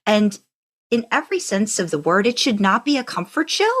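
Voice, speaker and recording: A woman's voice: mid-range voice, sing-song, normal speed, husky. A woman co-hosting a podcast, telling stories casually in an enthusiastic, rambling way. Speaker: podcast co-host. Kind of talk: casual conversational storytelling.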